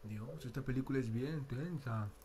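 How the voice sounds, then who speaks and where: A man's voice: mid-range voice, moderate pace, mellow, a little hiss or hum, lightly acted. A man playing an easygoing young best friend at a movie night in an ASMR roleplay, speaking from a little off mic.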